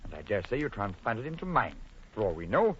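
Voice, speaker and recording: Urgent, fast-talking trapped man, old radio. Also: fairly low pitch, big pitch swings. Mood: forced calm. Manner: urgent, theatrical, protesting. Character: trapped man, high-strung, insistently rational, indignant. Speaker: actor. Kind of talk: vintage radio horror acting. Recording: muffled, noisy old radio broadcast.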